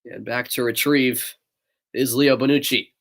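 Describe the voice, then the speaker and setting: A young man with a stuffy nose calls the match live at a steady clip, naming each pass and shot. He breaks off now and then to chat casually with viewers. His pitch sits in an ordinary middle range. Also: unhurried, gravelly. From northeastern United States. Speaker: play-by-play commentator. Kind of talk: live amateur sports commentary.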